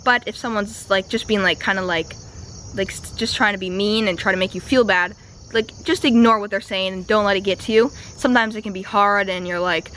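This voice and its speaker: A young woman vlogger, a bit raspy and slightly sick with a stuffy nose, chatting casually with lots of fillers. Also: medium pitch, brisk, fairly bright tone, slight background noise. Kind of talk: casual vlog voiceover and to-camera talk. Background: music.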